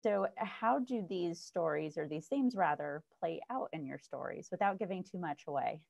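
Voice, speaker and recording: A woman moderates over a video call, putting long, careful questions to the panel. Her voice is very low and gravelly, and her delivery stays measured. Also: expressive, darkish tone. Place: indoor room with shelves. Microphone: video call webcam.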